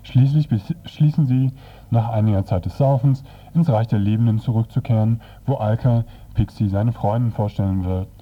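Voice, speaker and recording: A man presenting on radio, retelling a comic's story in a dry, hesitant, semi-improvised way with a husky voice. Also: on the low side, normal speed. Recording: dull, lo-fi radio recording.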